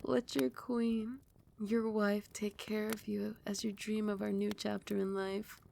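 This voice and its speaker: A woman voicing a loving, protective vampire queen in an intimate ASMR roleplay, breathy and often sinking into a whisper, soothing and doting. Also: medium pitch, little pitch movement, measured pace, darkish tone, slight background noise. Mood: soothing, sleepy. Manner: doting, soothing, affectionate pet names.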